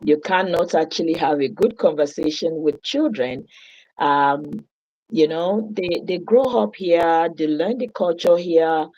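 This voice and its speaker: A Yoruba-speaking woman from Nigeria with a Nigerian accent, calmly telling her story as an interview guest. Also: sits low, a little slow, highs cut off. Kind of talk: interview answers.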